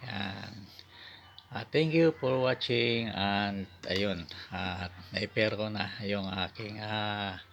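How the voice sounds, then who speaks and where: A man with a Filipino accent giving a casual product demonstration as a vlogger, in halting, piecemeal phrases. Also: lowish voice, gravelly, fairly bright tone, highs cut off, a little hiss or hum. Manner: halting, piecemeal delivery with repeated short filler phrases. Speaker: vlogger reviewing gear.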